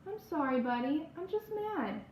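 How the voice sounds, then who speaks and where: A woman's voice: high voice, sing-song, moderate pace, darkish tone, pitched above the performer's own voice. A woman voicing an anxious, exasperated young girl in a read-aloud storybook, earnest and urgent. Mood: apologetic. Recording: roomy echo, faint background noise.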